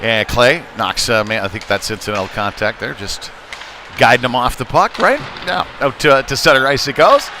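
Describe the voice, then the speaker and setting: A man's voice: mid-range voice, moderate pace. A man calling a live youth hockey game rinkside in a conversational, hesitant way that lifts with the action. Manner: conversational, hesitant, rising excitedly on shots. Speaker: play-by-play hockey commentator. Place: rinkside at an indoor hockey arena. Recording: noisy rink ambience behind voice.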